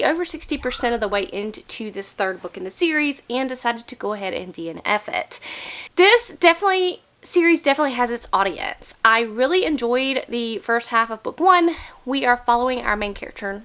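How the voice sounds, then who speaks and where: Young woman, book chat, casual and candid, slightly nasal from a cold, somewhat high voice. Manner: chatty, candid, animated opener. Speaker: book vlogger. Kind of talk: casual chat about books.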